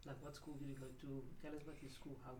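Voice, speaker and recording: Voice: mid-range voice, level tone, rapid-fire, a little mellow, slight background noise. A podcast host opening the show and asking questions in a hesitant way, often restarting mid-sentence. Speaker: interview host. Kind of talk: casual interview hosting. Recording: roomy, distant mic.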